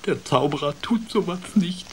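Mann spielt ein schüchtern lispelndes Kaninchen, hoch und lebhaft. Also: male voice, high voice, animated, slight background noise, voice pushed up. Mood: tearful, pleading. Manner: lisping, timid, halting. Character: shy, stammering rabbit, meekly proud.

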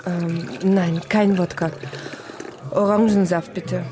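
An actress playing a polite, inquisitive young trainee reporter in a radio drama, hesitant and questioning. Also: female voice, lowish voice, fairly even intonation, slow pace, music in the background. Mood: timid, polite. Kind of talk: German radio crime drama acting.

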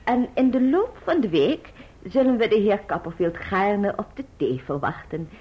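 Stiff proper spinster aunt in a radio play, very animated pitch, ceremonious, muffled old recording. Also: female voice, normal speed, slightly rough. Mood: prim, businesslike. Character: prim, formal maiden aunt, strict about propriety. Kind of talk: radio drama acting.

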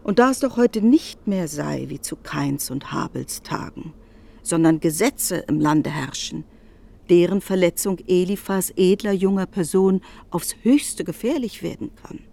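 A woman's voice: on the low side, lively intonation, unhurried, creaky, dark tone. A woman narrating a literary radio drama, expressively retelling another person's speech with some irony.

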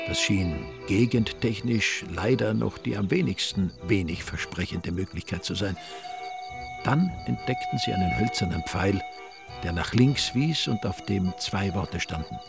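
A man's voice: fairly low pitch, vocal fry. A man narrating a radio drama in a dry, ironic, literary style. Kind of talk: scripted, wry literary narration.

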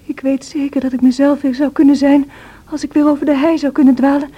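An actress playing a feverish, wild and distraught young married woman in a radio drama, with a breathy, anguished delivery. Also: high voice, level tone, fast, highs cut off, lightly acted. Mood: desperate, longing. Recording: noisy old radio play recording.

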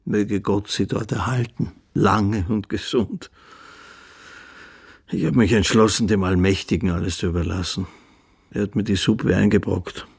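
A ruined Austrian count who mocks his own downfall. His low voice is gravelly and husky. He declaims with bitter irony, in the manner of a literary radio play. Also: steady tone, a little slow, exaggerated voice. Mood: bitter, sardonic. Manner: sardonic, declamatory. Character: ruined, bitter, sardonic aristocratic count, theatrically self-mocking.

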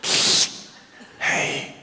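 A man's voice: extremely slow, pushed and bright, heavily acted. Sneaky little snack character, done by a male comic, hissing, conspiratorial, pleading, live hall reverb. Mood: furtive, pleading. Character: sneaky talking chocolate bar whispering for rescue. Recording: echoey hall, noisy.

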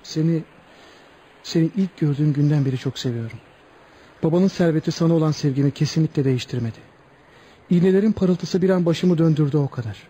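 Casting: earnest condemned young hero, brave and heartfelt, slightly high warm voice, radio theatre. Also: male voice, brisk, breathy edge, muffled recording. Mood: tender, resolute. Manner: solemn, heartfelt.

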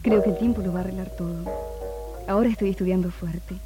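A woman's voice: lowish voice, steady tone, fast talker, close to an everyday voice. Guarded young Argentine woman played by an actress, over music. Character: determined, guarded young woman, student and teacher. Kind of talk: 1960s Argentine radio drama acting. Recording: 1960s radio archive, music behind.

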